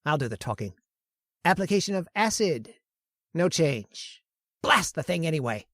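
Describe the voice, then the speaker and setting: A woman's voice: low voice, very animated, moderate pace, fairly bright tone, dry recording, studio quality, full character voice. Woman playing a manic, bullying mad scientist. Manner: bullying, jumps from gloating to frantic. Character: manic obsessive male scientist, shouting, arrogant. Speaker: voice actor. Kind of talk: old-time radio drama acting.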